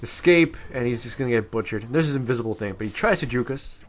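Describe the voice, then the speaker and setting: Guy talking over a game video, medium pitch, rambly and slangy, corrects himself a lot. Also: animated, fast talker. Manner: rambling, self-correcting, crude slangy talk. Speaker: gaming video commentator. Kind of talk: casual off-the-cuff gameplay commentary.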